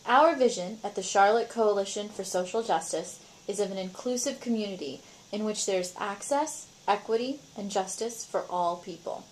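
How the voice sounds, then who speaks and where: Woman narrator, scripted, mid-pitched, measured delivery. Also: slight background noise, rough recording. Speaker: narrator for a nonprofit.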